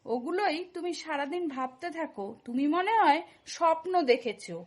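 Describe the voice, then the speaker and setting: Woman as a high, cheeky cartoon schoolgirl. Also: lively intonation, moderate pace. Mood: defiant, annoyed. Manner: pert, dismissive. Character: cheeky defiant schoolgirl daughter, dismissive of her mother. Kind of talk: cartoon comedy dialogue.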